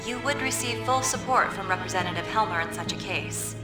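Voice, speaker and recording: A woman voicing a composed, authoritative female official in a game cutscene, speaking in a measured, formal, grave way. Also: mid-range voice, natural voice. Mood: serious, measured. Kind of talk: video game cutscene voice acting. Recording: roomy echo under loud background music.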